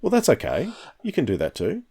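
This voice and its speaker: A man's voice: mid-range voice, wildly swooping pitch, fairly quick, dark tone, slightly rough. A man co-hosting a podcast, chatting casually and rambling with many restarts. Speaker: podcast co-host. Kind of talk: casual chat.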